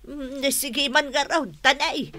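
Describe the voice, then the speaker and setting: A feisty bickering village neighbor. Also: female voice, high-pitched, little pitch movement, takes it slowly, bright, forceful tone, slight background noise, exaggerated voice. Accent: Ilocano. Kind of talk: Ilocano radio drama acting.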